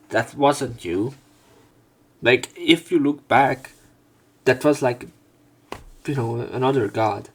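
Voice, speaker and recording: A man's voice: medium pitch, breathy edge. A man reading visual novel text aloud and commenting casually on it as a let's player.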